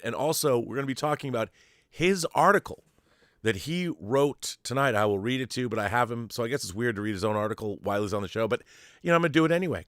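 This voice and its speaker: A man's voice: mid-range voice, a bit bright, no echo. Theatrical man hosting, close dry sound. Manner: theatrical, grandiose, playful. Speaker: host of a pop culture show. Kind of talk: showy scripted-sounding intro and casual fan discussion.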